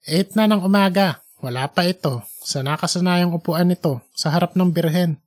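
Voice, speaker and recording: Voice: medium pitch, moderate pace. Audiobook narrator for a fiction podcast, medium register, scripted storytelling.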